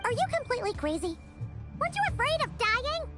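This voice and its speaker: Tiny squeaky game sidekick, super high, swoops all over, flustered and blunt. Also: female voice, sing-song, fast talker, loud music behind the voice. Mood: indignant disbelief. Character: tiny excitable childlike companion, blunt and easily alarmed. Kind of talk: animated game voice acting.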